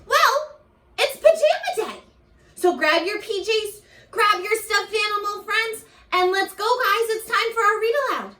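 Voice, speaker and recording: A woman teacher leading a read-aloud lesson for young children in an animated way, asking questions and pausing for answers. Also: extremely high pitch. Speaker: primary school teacher.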